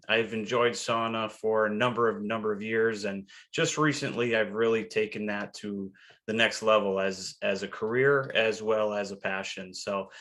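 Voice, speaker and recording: A man's voice: fairly low pitch, sharp tone. A man chatting as a podcast guest in a friendly, self-deprecating way, his talk sprinkled with fillers. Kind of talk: casual podcast chat.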